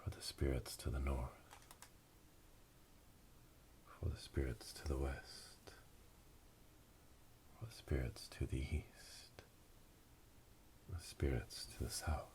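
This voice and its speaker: A man playing a solemn witch elder leading an initiation rite in a breathy, whispered ASMR roleplay, speaking slowly and ceremonially. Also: steady tone, darkish tone. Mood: solemn, hushed. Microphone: close binaural microphone. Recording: close binaural, rumbling noise, clicks.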